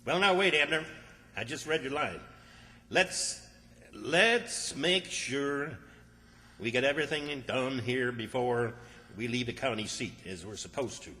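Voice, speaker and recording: Forceful, bossy country man played by an actor. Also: medium pitch, animated, a little slow. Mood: impatient. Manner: scolding, commanding, folksy. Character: bossy, exasperated country man, the sensible partner. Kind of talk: old-time radio comedy acting. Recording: hall reverb, distant stage sound.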